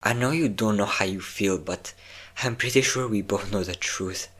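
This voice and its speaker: A man's voice: lowish voice, moderate pace, fairly bright tone, husky. A man playing a possessive, obsessive vampire lover in an intimate, dramatic roleplay audio drama. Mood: probing, persuasive.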